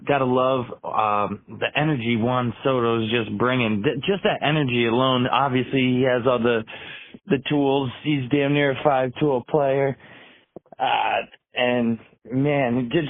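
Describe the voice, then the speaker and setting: A man's voice: medium pitch, no echo. A man leaving a casual voicemail as a sports fan, chatting informally. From upstate New York. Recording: tinny narrowband phone voicemail.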